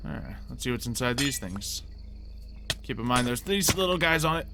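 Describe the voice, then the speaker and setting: A man talks through a video game in a casual, mild voice at an average pitch. He thinks out loud haltingly, with game music clearly playing behind him. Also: expressive. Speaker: gamer playing a Minecraft roleplay series. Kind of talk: casual gameplay commentary.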